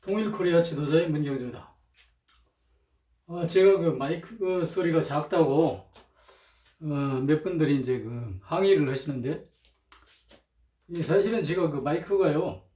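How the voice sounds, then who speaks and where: A man talking in an informal, rambling monologue, hesitant, often clearing his throat, with a slightly strained throat, on a cheap microphone. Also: fairly high pitch, moderate pace, pauses often, warm tone. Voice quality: strained throat from chronic irritation. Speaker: lecturer, channel host. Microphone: cheap, low-volume microphone. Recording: roomy, distant cheap microphone.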